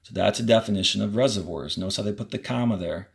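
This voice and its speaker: A man teaching children, reading a textbook aloud and explaining it step by step in short, deliberate directions. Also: fairly low pitch, creaky, husky. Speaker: fourth-grade teacher. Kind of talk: instructional read-aloud of a textbook to pupils.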